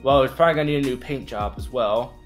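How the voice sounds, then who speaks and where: A man's voice: medium pitch, quick pace, over music, natural voice. A man playing a laid-back mechanic uncle in a video game roleplay, hesitant and matter-of-fact. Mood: assessing.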